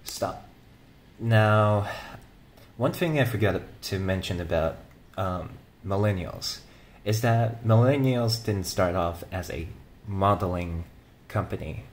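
A man speaking haltingly in an informal aside to viewers as a video creator. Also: sits low. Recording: clear ambient background noise.

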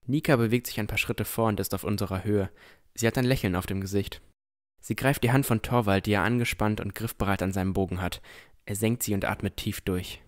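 A man's voice: on the low side, fairly quick, lightly acted. A man playing a cautious, easily amazed boy who narrates his own adventure in a scripted fantasy audio drama.

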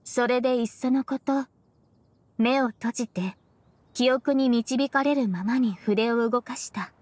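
High-voiced, earnest young disciple. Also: female voice, fairly even intonation, a little mellow, dry recording. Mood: thoughtful. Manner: earnest, formal, measured phrasing. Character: earnest, polite young woman, devoted martial disciple. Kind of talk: Japanese video game voice acting.

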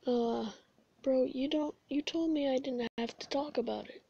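A woman voicing a laid-back, weary skeleton brother in a comic dub, with a casual, low-key delivery. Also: moderate pace, gravelly, dark tone, slightly rough, dry recording, dull audio, heavily acted. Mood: uneasy, weary.

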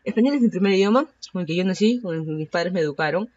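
A woman's voice: on the low side, animated, fast talker, a little mellow, no echo, muffled recording. A Peruvian woman in her thirties with a Peruvian accent, hosting a casual solo podcast and rambling with many fillers and self-corrections. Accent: Peruvian Spanish. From Peru. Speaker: podcast host.

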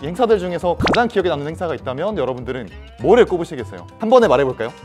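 A man in his twenties hosting a playful student chat with a lively, teasing manner. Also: a little high, racing. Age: 20s. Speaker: host of a student roundtable. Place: large indoor lounge with bean bags. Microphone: clip-on lapel mic. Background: light background music.

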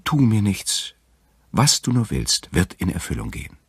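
Man putting on a higher-voiced magic hare. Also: wildly swooping pitch, moderate pace, husky, no room sound, clean studio sound, full character voice. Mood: pleading. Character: magic male hare, pleading then calmly commanding.

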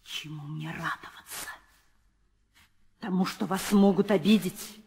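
An actress playing a fawning, insinuating old nursemaid in a 1960s radio drama. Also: female voice, lowish voice, unhurried, a little mellow. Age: older. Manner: insinuating, obsequious, mournful. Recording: noisy old radio recording, long reverb.

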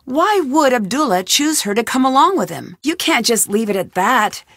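A woman's voice: a little high, very animated, normal speed, fairly bright tone, no room sound, clean studio sound, heavily acted. An actress voicing a curious, determined young female amateur detective in a video game, asking earnest questions. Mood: suspicious. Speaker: game protagonist. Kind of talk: studio video game voice lines.

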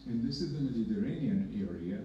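A middle-aged man from Greece with a Greek accent, speaking on a conference panel as a hepatologist, measured and emphatic with dry humor. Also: medium pitch, lively intonation, dark tone, distant mic. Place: conference room with projector. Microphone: podium mic, filmed from far in the room. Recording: echoey room from afar, dull and noisy.

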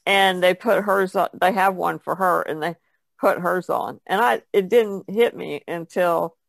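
Female artist guest, heavy gravelly fry, relaxed conversational delivery, dry close sound. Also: lowish voice, unhurried, no room sound. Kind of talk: casual chat.

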